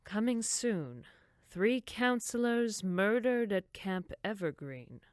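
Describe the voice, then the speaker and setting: A woman's voice: medium pitch, animated, slow, a little hiss or hum. A woman playing a fussy, dismissive summer camp director in a horror audio drama, with prim, wordy phrasing. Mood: unconcerned.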